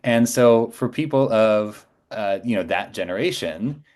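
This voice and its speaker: A man's voice: on the low side, animated, measured pace, a little mellow. A man in his forties speaking as an interview guest, telling anecdotes casually and with self-assurance. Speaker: podcast guest, voice actor.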